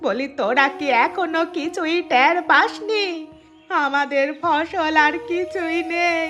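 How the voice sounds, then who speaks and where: A woman acts out an old village granny from a moral-story cartoon. Her voice is high and slow, drawn out into bitter laments and curses. Clear music plays behind her. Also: a bit bright, full character voice. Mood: bitter, scolding. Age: older. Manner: lamenting, drawn-out wailing and scolding. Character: wailing, cursing old village grandmother.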